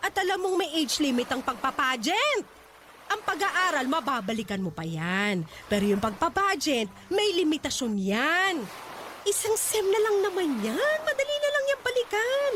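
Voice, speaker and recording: High-pitched, domineering Filipino mother. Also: big pitch swings, moderate pace, exaggerated voice. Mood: firm, pressuring. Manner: scolding, commanding, sharp-tongued. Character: domineering pageant-obsessed Filipino mother, impatient and pushy. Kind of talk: radio drama acting. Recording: faint background noise.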